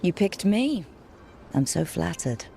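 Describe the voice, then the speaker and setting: Casting: a steady, encouraging female game hero with a slightly low voice and easy wit. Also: takes it slowly, vocal fry, slight background noise, full character voice. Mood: teasing. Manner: encouraging, composed. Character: steady, supportive female adventurer and leader with light teasing wit. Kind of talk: video game cutscene acting.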